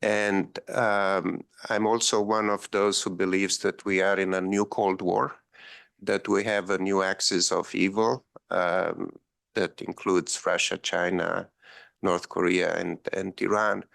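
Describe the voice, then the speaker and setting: A man's voice: low-pitched, creaky, a bit bright, dry recording. A man from Romania giving a policy panel talk, with frequent ums and wry asides. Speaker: panel speaker.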